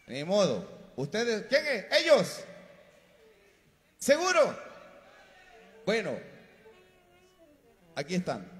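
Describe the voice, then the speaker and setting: Male mc on an outdoor stage, high animated voice, lots of hall reverb from the pa. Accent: Mexican Spanish. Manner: lively, joking, shouting into mic. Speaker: event emcee. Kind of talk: live stage announcing. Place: outdoor concert stage under a large roof. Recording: PA sound with long reverb.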